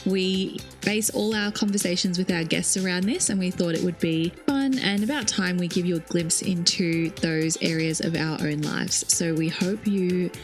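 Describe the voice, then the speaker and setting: A woman's voice: on the low side, moderate pace, few pauses, soft background music. Chatty woman podcast co-host. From Australia. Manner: chatty, laughs often, fillers. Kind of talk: casual chat.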